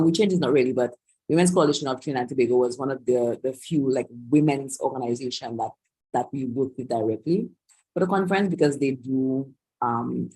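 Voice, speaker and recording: A woman's voice: rumbling low, darkish tone, slightly rough, low-quality recording. A woman organiser hosting a live online Q&A on a video call, talking loosely with many restarts and asides. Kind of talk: live-streamed online Q&A talk.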